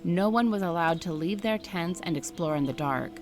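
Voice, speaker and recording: A woman reading a first-person story aloud in a steady, scripted narration style. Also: lowish voice, moderate pace, over music.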